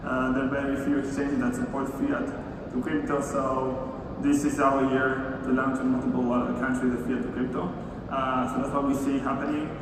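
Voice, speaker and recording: A man from Argentina speaking as a panelist at a conference, in an even manner. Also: medium pitch, moderate pace, background noise. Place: large conference hall stage. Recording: echoey hall filmed from far off.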